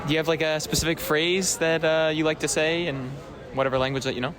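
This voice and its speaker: A man's voice: fairly high pitch, flat delivery, fast talker, crowd noise. A man asking passers-by brief questions as a street interviewer. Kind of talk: quick vox-pop questions.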